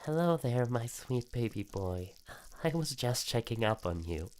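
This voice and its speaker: A man voicing a doting, eerily sweet mother in a visual novel, gently coaxing her young son. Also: medium pitch, sing-song, unhurried, background noise, pitched above the performer's own voice. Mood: tender, coaxing. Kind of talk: scripted visual-novel character reading.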